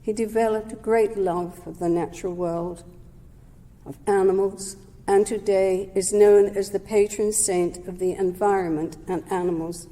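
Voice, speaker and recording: A woman giving a prepared talk at a Sunday service, measured and gentle, sometimes correcting herself mid-word. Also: medium pitch, steady tone. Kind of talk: prepared talk read aloud. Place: service hall. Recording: long hall reverb.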